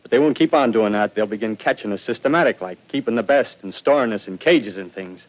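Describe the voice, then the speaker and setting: An actor playing a desperate male ex-militiaman who rambles feverishly about his survival scheme, swinging between hushed and loud. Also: fairly low pitch, quick pace, close to an everyday voice. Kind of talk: 1930s radio drama acting. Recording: dull lo-fi vintage radio broadcast.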